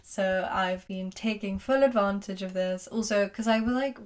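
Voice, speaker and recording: A woman's voice: medium pitch, normal speed. A young adult woman co-hosting a podcast in casual chat, informal, giggly and frank. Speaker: podcast co-host.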